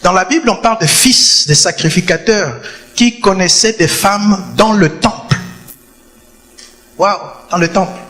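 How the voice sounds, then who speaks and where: A man preaches with a fairly high voice, hammering home his points and repeating phrases for weight. His voice rings out in a church hall with a long, trailing reverb. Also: a little slow, sharp tone. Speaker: pastor. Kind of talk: sermon.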